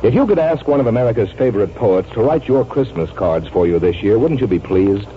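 A man's voice: on the low side, lively intonation, fairly quick. A man reading a scripted sponsor message as a 1940s radio announcer. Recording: dull, noisy vintage radio broadcast.

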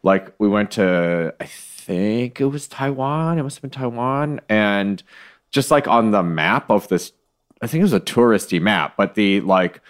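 A man's voice: lowish voice, expressive, measured pace, clear, resonant tone. A man co-hosting a casual comedy podcast, rambling and questioning himself with many fillers. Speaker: podcast co-host.

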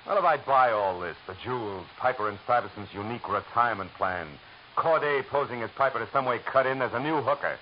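An actor playing a hard-boiled private eye with dry, sardonic wisecracks, narrating and talking in 1940s radio acting. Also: male voice, medium pitch, fast talker, lightly acted. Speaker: narrator and detective. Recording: hissy dull old radio broadcast.

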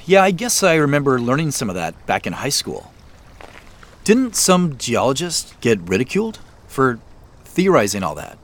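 An actor playing a polite, unsure man in an audio drama, speaking in a halting, low-key way. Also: very animated. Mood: polite, guarded. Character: hesitant, polite man out exploring, privately unsure. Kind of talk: naturalistic audio drama acting.

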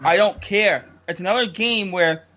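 A man's voice: sits high, normal speed, sharp tone. A young man hosting a casual sports chat, frustrated and incredulous, repeating himself as he complains. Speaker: podcast host.

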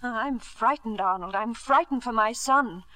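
High, bright wife. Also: female voice, measured pace, low-quality recording. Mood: pained, earnest. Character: proud, disillusioned wife and protective mother, coolly bitter. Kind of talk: 1950s radio drama acting. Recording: vintage 1950s radio broadcast.

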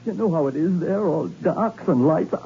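An actor playing a bewildered, frightened young murder suspect, halting and pleading, in a 1960s radio suspense drama. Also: male voice, fairly high pitch, expressive, dark tone, breathy edge, highs cut off, full character voice. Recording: thin old radio recording, echoey.

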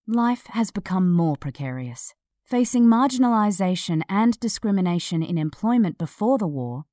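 A woman narrating a scripted news article in a measured, serious manner. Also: on the low side, dark tone, no room sound, studio quality.

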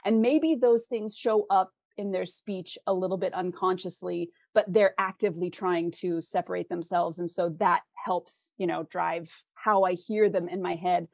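Thoughtful West Coast American woman, lower-pitched. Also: steady tone, normal speed, vocal fry, hardly any pauses, a little mellow, no room sound, studio quality. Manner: thoughtful, articulate, explains through rhetorical questions. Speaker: guest author. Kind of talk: conversational interview explanation.

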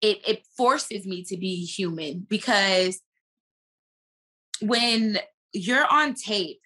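A woman with a bright, forceful voice speaks as a guest in a casual podcast chat. She is an actress who tells things in a slangy, emphatic way, with plenty of animation. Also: mid-range voice, slow. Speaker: guest, stage and screen actress.

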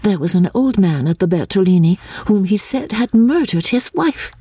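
A woman playing an earnest, gentle young Englishwoman in an audiobook, eager to please. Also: mid-range voice, lively intonation, moderate pace, husky, dry recording, voice pushed up. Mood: indignant.

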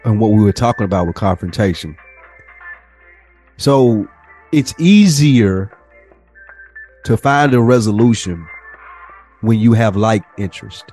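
Deeper-voiced man chatting casually over faint music. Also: lively intonation, a little slow, long pauses, mellow. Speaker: podcast co-host. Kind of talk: casual podcast conversation.

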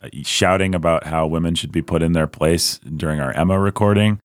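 A man co-hosting a casual podcast with a hoarse, worn-out voice, joking and rambling through his banter. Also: sits low, steady tone, fairly quick, dry recording, studio quality. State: hoarse, lost voice. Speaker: podcast co-host.

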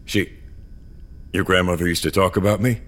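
Very deep, weary augmented old-timer. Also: male voice, steady tone, a bit bright. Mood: defensive, pleading. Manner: hesitant, halting, low-key. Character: guilty, weary 150-year-old augmented great-grandfather, self-absorbed. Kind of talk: audio fiction acting with first-person narration.

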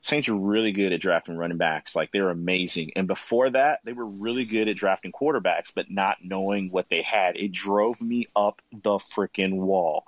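A man's voice: low-pitched, normal speed, hardly any pauses, dry recording. A man chatting casually about sports as a fan on a podcast panel, with slightly dull audio.

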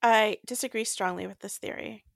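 A woman's voice: medium pitch, steady tone, normal speed, gravelly, no room sound, clean studio sound. A woman co-hosting a book podcast, chatting casually and stating her opinions emphatically. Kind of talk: casual chat.

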